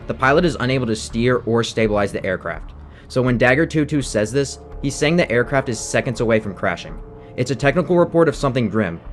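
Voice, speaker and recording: Guy doing game analysis voiceover, talks quick, bit of music behind him. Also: mid-range voice. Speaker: video essay narrator. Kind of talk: scripted analytical narration over game audio.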